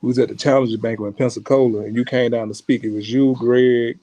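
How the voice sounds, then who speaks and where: A man's voice: medium pitch, steady tone, quick pace, warm tone, no room sound. A man hosting a podcast in an easygoing way, full of fillers and addressing the audience as y'all. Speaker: podcast host. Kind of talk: casual chat. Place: podcast studio.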